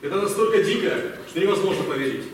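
A man's voice: high voice, expressive, quick pace. A man acting in an amateur audio play as a stern, authoritative army captain with clipped military delivery. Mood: subdued, serious. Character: stern army captain commanding a wartime women's reconnaissance squad. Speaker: amateur theatre actor. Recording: very echoey hall, distant mic, faint noise.

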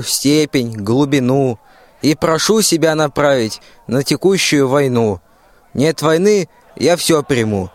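A man's voice: mid-range voice, lightly acted. A man in a radio play acting a grovelling, scheming army general who declaims excuses in rhyme. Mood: contrite. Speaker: school student actor.

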